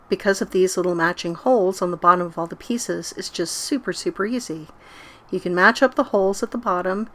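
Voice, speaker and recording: A woman's voice: medium pitch, fairly bright tone. A middle-aged woman narrating a casual craft tutorial as its host.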